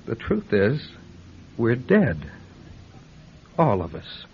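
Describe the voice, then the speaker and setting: A man acting in a radio drama as a tired, resigned old man who speaks slowly and haltingly. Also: medium pitch, wildly swooping pitch, vocal fry, heavily acted. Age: older. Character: weary, resigned old man accepting his own death. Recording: dull, faintly noisy old radio recording.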